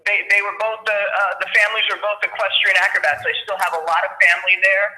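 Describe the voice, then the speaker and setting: High, bright-voiced man on a video call. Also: flat delivery, low-quality recording. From United States. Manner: halting, many fillers and repeated words. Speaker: guest, equestrian performer. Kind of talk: casual interview on a video call. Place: room indoors. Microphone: webcam on a video call.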